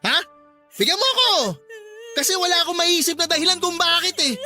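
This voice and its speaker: An actor with a Filipino accent playing a belligerent, abusive husband and father who sneers and shouts in a radio drama. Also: very high voice, animated, fairly quick, faint music behind, heavily acted. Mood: mocking, angry.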